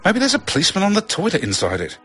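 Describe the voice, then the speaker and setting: A man voicing a sceptical, sharp-tongued young female student in an audiobook. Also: high-pitched, huge pitch swings, racing, faint music behind, pitched above the performer's own voice, exaggerated voice. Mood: alarmed, flustered. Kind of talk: audiobook character voice.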